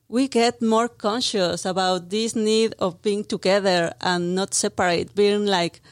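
A woman's voice: medium pitch, fairly even intonation, a little slow, no room sound, studio quality. A woman in her thirties from Mexico City with a Mexican Spanish accent, earnestly answering interview questions with frequent restarts. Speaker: brand marketing manager, guest. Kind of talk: radio interview. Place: radio studio.

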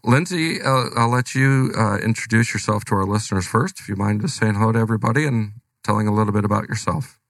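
A man with a cold hosts a radio show, his low voice rough and gravelly. He talks in a relaxed, rambling way with lots of fillers. The studio sound is dry and close. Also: fast, few pauses, no echo. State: has a cold. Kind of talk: casual radio talk.

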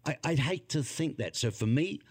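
A man's voice: a little high, expressive, moderate pace, breathy edge, dry recording, studio quality. A man hosting a conversational interview, asking questions and musing aloud. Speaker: host.

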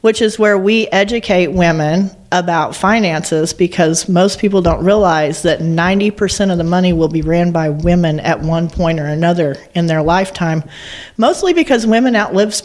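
A woman's voice: fairly low pitch, moderate pace, a little mellow. A woman guest on a business radio show, speaking in a plain, earnest, down-to-earth way. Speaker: financial business owner, guest. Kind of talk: casual interview.

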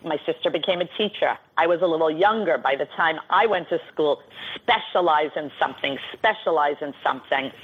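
A woman's voice: low voice, brisk, hardly any pauses, slightly rough, narrow, tinny audio. A middle-aged woman with a New York accent, a guest coach explaining at length in an articulate, list-like way. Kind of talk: radio interview.

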